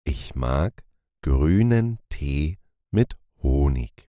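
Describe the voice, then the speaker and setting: A man reading short phrases calmly and deliberately for a language lesson, repeating each one. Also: rumbling low, dry recording, clean studio sound. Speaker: language teacher.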